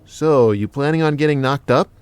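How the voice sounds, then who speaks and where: An actor playing a cheeky, big-hearted casual lover in an audio drama, with blunt, slangy, joking talk. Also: male voice, mid-range voice, normal speed, darkish tone, clean tone, no echo, lightly acted.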